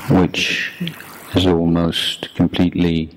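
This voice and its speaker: Male meditation guide, deep and flat in delivery, deliberate short phrases, some noise on the recording. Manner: measured, deliberate, short phrases with long pauses. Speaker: meditation teacher, monk. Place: youth retreat. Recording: clearly noisy background.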